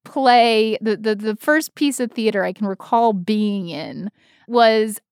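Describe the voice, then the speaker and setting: A woman's voice: mid-range voice, slow pace, clean tone, no echo, studio quality. A woman co-hosting a podcast, telling personal stories in an informal, rambling, self-deprecating way. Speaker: podcast co-host. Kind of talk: casual chat, personal storytelling.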